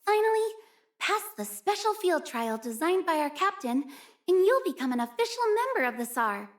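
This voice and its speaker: A young female mountain rescuer from a video game. Her voice is high and girlish, and she comes across as gentle and sincere. She speaks politely, with real care for the people around her. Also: very animated, moderate pace. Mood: earnest, wistful. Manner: earnest, caring, polite. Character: earnest, gentle young female snow-rescue team member. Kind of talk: video game character voice lines.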